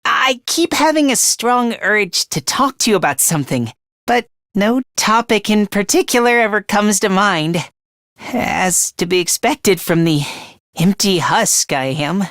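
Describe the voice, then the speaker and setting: A man voicing a meek, self-loathing young anime game character in a high, light voice, hesitant and apologetic, stammering as he puts himself down. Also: expressive, unhurried, fairly bright tone, dry recording, studio quality. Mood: gloomy, resigned. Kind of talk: anime-style video game voice acting.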